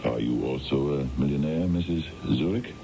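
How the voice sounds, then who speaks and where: An actor playing an imposing middle-aged businessman in a radio drama, formal and measured, with hesitant ums. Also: creaky, warm tone. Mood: courteous. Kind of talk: 1970s radio drama acting. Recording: muffled, noisy 1970s radio broadcast with rumble.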